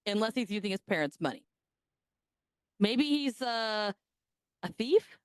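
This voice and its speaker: A woman's voice: mid-range voice, animated, measured pace, smooth, no room sound, clean studio sound. A woman co-hosting a podcast, telling a story in casual chat and cracking crude jokes with a laugh. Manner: bawdy, joking, laughs often. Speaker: podcast co-host.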